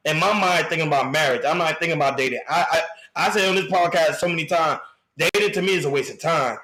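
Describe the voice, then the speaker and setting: Male co-host, thirties, fairly high-pitched, blunt and casual, recorded with noticeable room echo. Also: fast talker, pushed and bright. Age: 30s. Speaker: podcast co-host. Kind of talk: opinionated casual panel talk.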